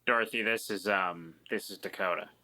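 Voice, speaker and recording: A man in casual tabletop roleplay, speaking in a halting, measured way. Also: low voice, bright, forceful tone. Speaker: tabletop game player.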